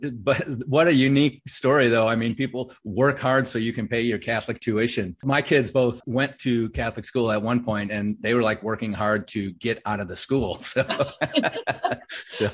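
A man's voice: mid-range voice, steady tone, moderate pace, slightly rough. A middle-aged man hosting a podcast, chatting enthusiastically and agreeing readily with his guest in a casual interview. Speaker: podcast host.

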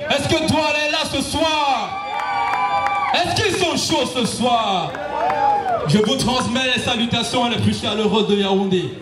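High-voiced gospel rapper shouting live. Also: male voice, a little slow, heavy background noise. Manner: shouted, rhythmic rap delivery with crowd call-outs. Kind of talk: live gospel rap performance. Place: outdoor night concert stage. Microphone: handheld mic. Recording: roomy, distant live concert audio with music. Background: backing music.

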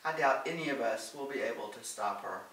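A man reading the part of a calm, loyal man in a storybook, frank and reassuring. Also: medium pitch, brisk, roomy sound, recorded from a distance, close to an everyday voice, poor audio. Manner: reassuring, matter-of-fact.

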